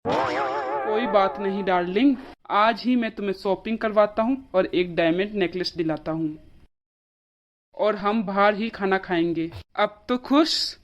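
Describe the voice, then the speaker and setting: A woman's voice: mid-range voice, measured pace, lo-fi sound, lightly acted. A woman voicing a selfish adult son in a cartoon story, self-justifying and doting on his wife, on band-limited audio.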